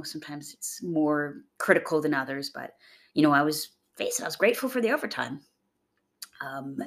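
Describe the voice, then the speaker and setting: A young adult woman hosting a podcast, raspy from a chest cold, talking in a chatty, upbeat, rambling way. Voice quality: gravelly, low voice. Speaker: podcast host. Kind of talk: casual motivational monologue.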